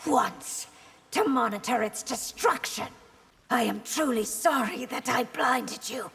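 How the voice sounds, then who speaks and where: An actress playing a regal, playful, faintly predatory fantasy empress in a video game, raspy, measured and teasing. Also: female voice, on the high side, fairly bright tone, exaggerated voice. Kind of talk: video game fight banter. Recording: reverberant game hall sound.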